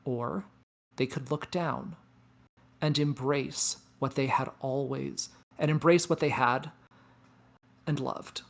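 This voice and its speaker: A performer in a table read playing a weary, knowing space probe, speaking in a measured, deliberate, philosophical way. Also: medium pitch, fairly even intonation, creaky. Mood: solemn. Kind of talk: table read of science fiction script. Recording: music behind the voice. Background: music.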